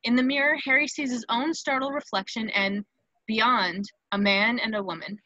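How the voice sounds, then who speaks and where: Bright, higher-pitched woman narrator. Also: steady tone, moderate pace, no room sound, dull audio. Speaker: narrator reading stage directions. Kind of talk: scripted narration of a screenplay.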